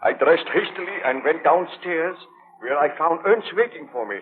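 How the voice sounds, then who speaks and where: An actor playing an obsessive, imperious young baron scientist in a melodramatic 1930s radio drama style. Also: male voice, on the high side, expressive, moderate pace, slight background noise, lightly acted. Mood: grave, recounting. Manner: melodramatic, commanding. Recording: muffled vintage 1930s radio broadcast.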